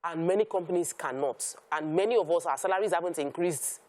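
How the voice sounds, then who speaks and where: A Nigerian-accented woman shares her opinions on a talk show panel. Her voice is a bit low for a woman, and her delivery is conversational. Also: brisk, a little mellow.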